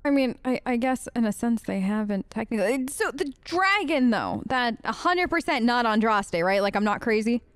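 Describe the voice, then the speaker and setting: A woman's voice: on the high side, big pitch swings, normal speed, hardly any pauses, smooth, no echo. A woman hosting a let's play with casual, wry, hesitant role-play commentary, talking in character.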